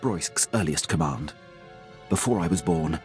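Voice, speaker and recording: An actor playing a dutiful Imperial military captain in a video game, speaking in a formal, clipped, restrained way. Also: male voice, low voice, a little slow, faint music behind. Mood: composed, dry.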